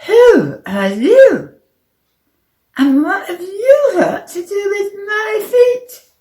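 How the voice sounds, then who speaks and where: A woman's voice: a little high, huge pitch swings, slow pace, echoey room, voice pushed up, full character voice. A woman voicing a bewildered, indignant young male footballer in a children's story, exclaiming incredulously.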